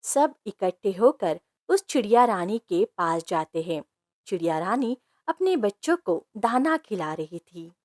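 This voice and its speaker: A woman's voice: mid-range voice, big pitch swings, a little slow, darkish tone, no room sound, studio quality. A woman reading scripted narration for a children's cartoon story as its narrator.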